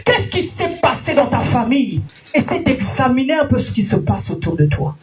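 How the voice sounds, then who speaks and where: A woman preacher delivering a sermon in an emphatic, sing-song manner, rising to shouts and calling out alleluia between questions to the congregation. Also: sits high, sing-song, moderate pace, faint music behind. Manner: frequent alleluias and direct questions to listeners. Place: church gathering.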